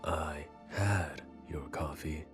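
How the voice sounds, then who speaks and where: A man playing a calm, sarcastic, laid-back assistant with mock innocence in an anime-style audio drama. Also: extremely low pitch, slow pace, soft background music. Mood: dry, unbothered.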